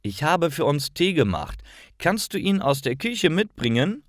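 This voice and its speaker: Man putting on a high-pitched chilly young girlfriend. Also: very animated, a little slow, no echo, studio quality. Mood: sweet, affectionate. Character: young woman, cozy, chilly, playful girlfriend. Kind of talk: acted dialogue in a language lesson story.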